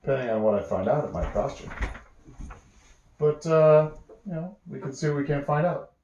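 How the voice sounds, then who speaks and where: A man voicing a cagey, boastful petty thief in a bedtime story, talking in a casual, halting, guarded way. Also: fairly high pitch, animated, mellow, muffled recording, slight background noise, pitched above the performer's own voice, lightly acted. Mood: guarded, bargaining. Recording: roomy, echoey home recording.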